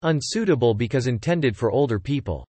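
A male narrator reads English vocabulary and example sentences for learners. His pitch moves around a lot as he repeats each line steadily. The sound is dry, with no room around it. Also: lively intonation, a little fast, mellow, slightly rough, no room sound. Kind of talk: reading example sentences and definitions aloud.

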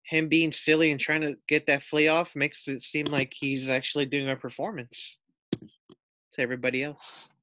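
A man's voice: on the high side, level tone, normal speed, bright, forceful tone, no room sound. A man reading a children's storybook aloud as a teacher, expressively, with explanatory asides and questions to pupils.

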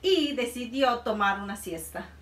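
Lively, high-voiced storytelling woman. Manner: expressive, slow, sing-song storytelling. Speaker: children's librarian storyteller. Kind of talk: reading a picture book to children. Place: indoor room by a felt board. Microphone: camera a few feet away. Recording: roomy sound from a distance.

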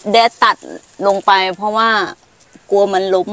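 Middle-aged woman showing trees on her farm, slow explaining, lots of ums, faint outdoor hiss. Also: mid-range voice, little pitch movement, fairly bright tone. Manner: unhurried, explanatory, hesitant fillers. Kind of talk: casual guided walk explaining trees.

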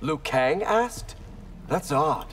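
An actor playing a sober, wary ninja in a video game, with terse, serious lines. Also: male voice, on the high side, expressive, very slow, a bit bright, slight background noise, exaggerated voice. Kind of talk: dramatic video game dialogue.

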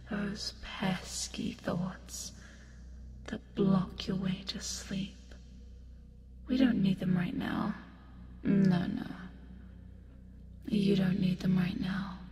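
A woman as a slow, whispering, soothing demoness. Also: mid-range voice, heavy background noise. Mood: soothing, hypnotic. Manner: breathy whispering, slow, coaxing, drawn-out words. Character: eerie yet soothing female sleep paralysis demon. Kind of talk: whispered ASMR hypnosis roleplay.

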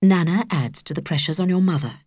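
A woman's voice: lowish voice, fairly quick, darkish tone, husky, no echo, studio quality. A woman with a British accent voicing a nervous, strict middle-aged vicar father in a storybook. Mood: stern.

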